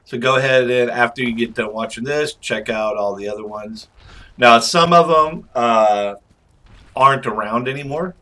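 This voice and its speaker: A man's voice: mid-range voice, normal speed, sharp tone. A man doing casual, chatty game commentary as a channel host, rambling informally through asides.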